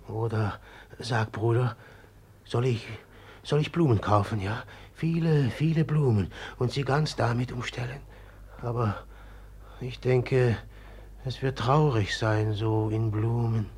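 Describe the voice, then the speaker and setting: A brooding, haunted killer confides slowly in a breathy, husky voice. He sounds dazed and secretive, halting between phrases, in the manner of a classic radio drama. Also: mid-range voice, darkish tone. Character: haunted, brooding murderer, feverishly confiding in his friend. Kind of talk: German literary radio drama acting.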